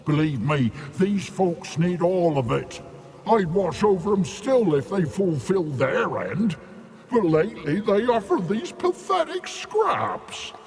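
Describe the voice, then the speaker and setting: A man voicing a fat, greedy, pompous creature who poses as a god in a video game, with gravelly theatrical speech. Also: a little high, big-room sound, heavily acted. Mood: indignant. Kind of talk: video game character acting.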